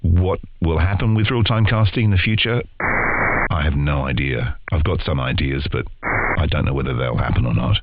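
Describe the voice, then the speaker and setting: A man with an Australian accent and an extremely deep voice talks in a loose, rambling conversational style. The audio is dull and narrow, with loud noise and clicks over it. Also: moderate pace. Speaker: interview guest, podcast co-host in voiceover and audio. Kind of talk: casual conversational interview.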